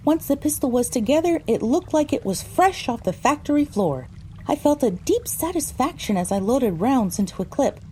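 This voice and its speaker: A woman's voice: high voice, sing-song, normal speed, warm tone, a little hiss or hum, low-quality recording. A woman narrating an audio drama in the first person from a script.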